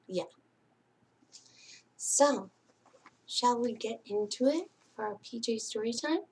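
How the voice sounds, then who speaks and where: Slow, high-voiced woman hosting children's story time. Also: slight background noise. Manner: gentle, singsong, addressing young children. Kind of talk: children's picture-book read-aloud. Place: small indoor space hung with curtains and string lights.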